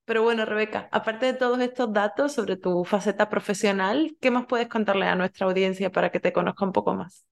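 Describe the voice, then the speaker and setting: A woman's voice: mid-range voice, a little fast, vocal fry. A woman hosting an interview, introducing her guest and asking questions, often hesitating and adding tag questions. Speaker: podcast host.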